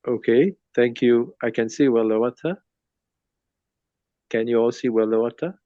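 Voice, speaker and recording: A man in his fifties from Sri Lanka, presenting in an online group discussion from his phone, often pausing with uh and um. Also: mid-range voice, no echo, highs cut off. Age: 50s. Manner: hesitant, many uh and um fillers. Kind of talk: casual presentation over a video call. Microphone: phone on a video call.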